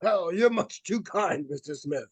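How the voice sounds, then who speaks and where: A modest elderly doctor, acted by a man in an old-time radio drama. The voice is husky and dry, with a weak chest behind it. He speaks slowly and haltingly, with genial courtesy. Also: sits high, lively intonation, no echo, heavily acted. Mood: bashful, genial. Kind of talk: 1940s live radio drama acting.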